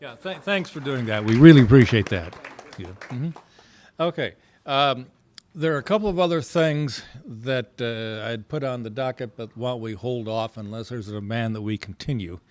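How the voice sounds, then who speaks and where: A man with a medium-pitched voice runs an informal club meeting. He is courteous and loose in his delivery, often hesitating and wandering as he moves through items of business. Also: moderate pace, darkish tone. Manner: hesitant, rambling, polite.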